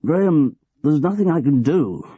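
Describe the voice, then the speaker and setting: A man's voice: fairly high pitch, big pitch swings, moderate pace, slightly rough, dry recording, voice pushed up. A man reading the part of an aging, petulant department head who talks in a testy, querulous way, on a dull lo-fi recording. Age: older.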